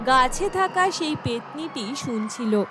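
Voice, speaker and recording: Slow, high-voiced woman narrating a story. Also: expressive, background noise. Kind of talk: scripted story narration for horror cartoon.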